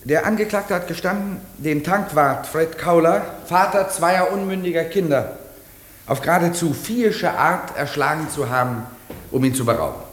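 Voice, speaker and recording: An actor playing a stern prosecutor in a German radio play, delivering an indignant, declamatory courtroom speech with heavy rhetorical emphasis. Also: male voice, fairly high pitch, moderate pace, close to an everyday voice. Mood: indignant, grave. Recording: echoey room, old radio drama.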